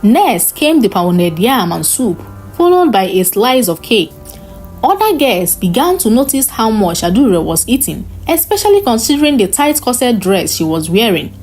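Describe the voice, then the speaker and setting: A woman narrating a scripted folktale in an expressive, dramatic storytelling style. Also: fairly high pitch, normal speed, soft background music.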